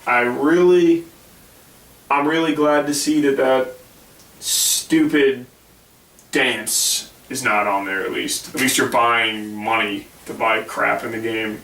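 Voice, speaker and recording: A hoarse man with a bright tone delivers blunt, dismissive takes on a casual gaming podcast. He is a little off-mic in an echoey room. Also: mid-range voice, steady tone, slow, distant mic. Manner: blunt and dismissive, reads news aloud. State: hoarse, losing his voice. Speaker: podcast co-host.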